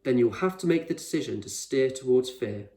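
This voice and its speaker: A man voicing an eccentric, proud male explorer in a storybook, speaking with measured authority and reassurance. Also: medium pitch, expressive, warm tone, lightly acted. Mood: encouraging. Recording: roomy echo, distant microphone.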